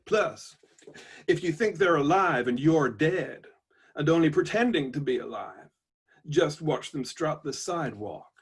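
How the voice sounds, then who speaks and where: A man voicing a reflective, wryly stoic robot labourer from a book, slowly and deliberately, with an American-style twang. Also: a little high, lively intonation, slightly rough, exaggerated voice. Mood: dry, wry. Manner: slow, deliberate, pausing delivery. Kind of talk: book reading of aphoristic notebook entries.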